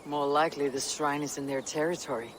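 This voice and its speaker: A woman's voice: low voice, fairly even intonation, fairly quick, a little hiss or hum, lightly acted. Woman acting a confident Viking chieftain, faint ambience. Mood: protective. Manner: wry, assured. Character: confident female Viking chieftain, wry, steadfast. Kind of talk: video game cutscene acting.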